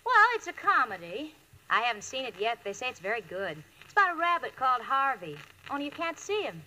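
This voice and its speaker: Bright, high-pitched wisecracking single woman. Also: big pitch swings, brisk. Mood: dry, teasing. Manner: wry, theatrical comic delivery. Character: wisecracking, marriage-hungry single young woman, sensible roommate. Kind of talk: 1940s radio comedy acting. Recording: noisy vintage radio recording.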